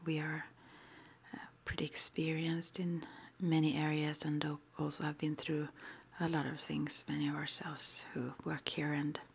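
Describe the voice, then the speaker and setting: A woman whispering breathily in an improvised ASMR roleplay, halting and gently reassuring as she plays a guide at a witch school. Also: deep voice, level tone, creaky, husky.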